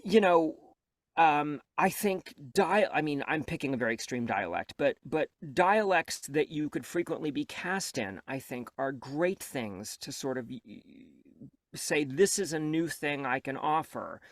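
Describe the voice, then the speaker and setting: A man, an audiobook narrator and coach, talking as a guest in a candid interview. He is reflective and self-deprecating, and he speeds up when he gets animated. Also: a little high, a little slow, dry recording.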